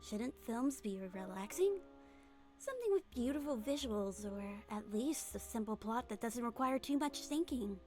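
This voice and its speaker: Woman as composed, high-voiced young game heroine. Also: animated, moderate pace, a little mellow, soft background music, natural voice. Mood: calm, matter-of-fact. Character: calm young female magician's assistant, composed caring sister. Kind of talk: video game fan dub.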